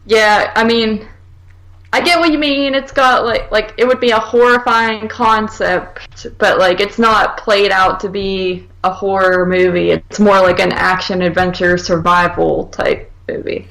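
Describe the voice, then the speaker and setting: A woman with a noticeably creaky, medium-pitched voice chats as a podcast co-host. She is agreeable and informal, talking through films casually and peppering her sentences with "like". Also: steady tone, unhurried, a bit bright, low-quality recording. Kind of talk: casual conversational film discussion.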